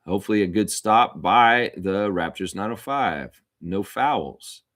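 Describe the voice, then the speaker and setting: A man's voice: on the low side, expressive, measured pace, a bit bright. A man hosting a casual basketball watchalong, calling the play-by-play with informal, chatty asides. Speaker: live stream host calling play-by-play.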